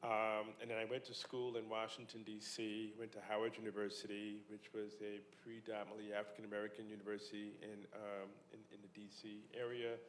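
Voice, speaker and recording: Male interview guest from New York, low-pitched, relaxed and wry, reverberant room. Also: brisk, hardly any pauses, slight background noise. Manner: relaxed, joking storyteller. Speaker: guest speaker, entrepreneur. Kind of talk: live on-stage interview, telling his story. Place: event space with a small audience. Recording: roomy live venue.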